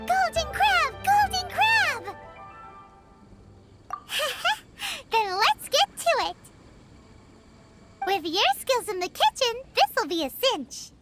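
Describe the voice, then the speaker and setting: A woman voicing a tiny, cheerful, childlike floating fairy companion in an anime game, with a squeaky, chirpy delivery. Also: sing-song, very slow, pauses often, heavily acted. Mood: excited. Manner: chirpy, refers to self in third person. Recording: music behind the voice.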